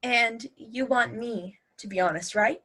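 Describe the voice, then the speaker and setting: A woman's voice: fairly high pitch, measured pace, bright, forceful tone. A woman acting in a play reading as a sly, upbeat wife, teasing and persuasive. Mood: coy. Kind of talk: virtual stage play reading.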